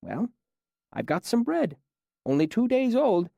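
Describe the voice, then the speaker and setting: A man's voice: extremely high pitch, huge pitch swings, a little slow, gravelly, mellow, no echo, highs cut off, pitched above the performer's own voice, full character voice. A man voicing an old caretaker whose voice is tired around the edges but patient at its center. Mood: gentle.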